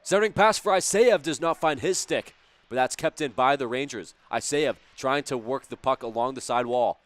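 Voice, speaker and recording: A man calling a youth hockey game play-by-play, his voice a little hoarse and raspy, with brisk, chatty calls that rise sharply on chances and goals, plus joking asides. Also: mid-range voice. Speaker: play-by-play commentator. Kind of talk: live hockey play-by-play commentary.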